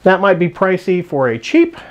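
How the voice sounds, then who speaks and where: A man's voice: high-pitched, wildly swooping pitch, moderate pace, lo-fi sound. Rambling man reviewing camping gear. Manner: rambling with frequent restarts and fillers. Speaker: hiking channel host.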